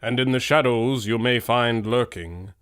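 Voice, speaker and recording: An actor playing a grand, dramatic narrator of an old-time radio adventure serial. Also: male voice, medium pitch, a little slow, background noise, natural voice. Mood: ominous.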